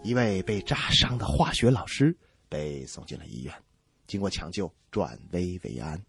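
A man's voice: deep voice, big pitch swings, moderate pace, vocal fry, over music. A man hosting a comedy radio show, telling jokes and bantering in a playful, exaggerated way. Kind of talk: joke-telling banter between songs.

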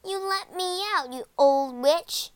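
A woman's voice: high-pitched, slow, no room sound, pitched above the performer's own voice. A woman voicing a spirited, indignant young boy in a children's storybook, calling out urgently. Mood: indignant, alarmed.

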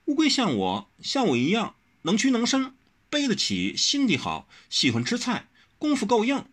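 A man's voice: high voice, huge pitch swings, moderate pace, fairly bright tone, breathy edge, pitched above the performer's own voice, exaggerated voice. A man voicing a headstrong, pouty young swordswoman in an audiobook, whiny and insistent. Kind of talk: audiobook character reading.

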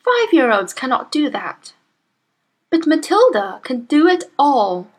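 A woman's voice: high voice, big pitch swings, a little slow, pitched above the performer's own voice. A woman voicing a polite but resolute young schoolteacher. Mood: earnest, firm. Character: firm, earnest young schoolteacher.

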